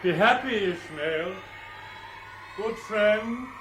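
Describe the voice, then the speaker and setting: An actor playing a gentle, resigned crewmate in a 1960s radio drama, speaking solemnly and tenderly. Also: male voice, sits high, animated, extremely slow, full character voice. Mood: tender farewell. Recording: noisy old radio recording.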